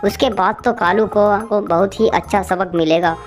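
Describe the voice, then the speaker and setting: A woman's voice: mid-range voice, fairly even intonation, moderate pace, close to an everyday voice. Woman acting eager little cartoon bird, music behind. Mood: delighted. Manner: eager, urging, exclamatory. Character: cheerful, hardworking little female bird, caring sister. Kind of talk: animated children's story dialogue.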